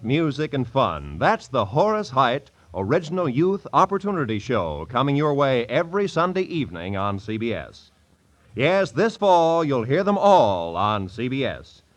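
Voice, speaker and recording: Vintage American network announcer, man, mid-pitched and very animated, selling upcoming shows with polish. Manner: polished, promotional. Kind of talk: 1940s radio promotional announcing.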